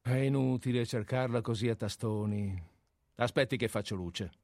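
A man acts out a composed gentleman in a radio play, receiving an intruder at night. He is courteous and measured, with a dry politeness. The voice is medium in pitch and closely recorded, without echo. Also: natural voice. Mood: calm, dry.

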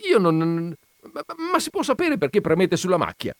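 A man's voice: high voice, wildly swooping pitch, fairly quick, no room sound, voice pushed up, full character voice. A man doing a stammering flustered clerk. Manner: stammering, hesitant.

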